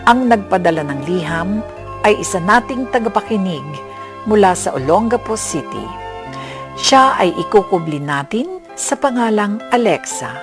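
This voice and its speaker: Animated woman narrator over music. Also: mid-range voice, moderate pace. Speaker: radio drama narrator. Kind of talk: scripted dramatic narration.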